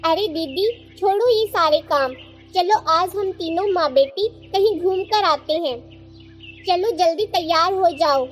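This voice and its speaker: A little girl bird from a cartoon, with a tiny, very high childlike voice. Faint music plays underneath. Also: unhurried. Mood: coaxing. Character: young bird daughters, sweet elder and spoiled younger. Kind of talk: Hindi cartoon story dialogue.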